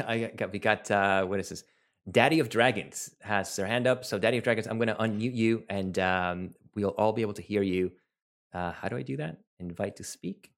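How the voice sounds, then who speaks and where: Relaxed low-voiced man from Venezuela. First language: Spanish. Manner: relaxed, rambling with fillers and restarts. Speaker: talk host and researcher. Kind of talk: casual online talk, taking questions.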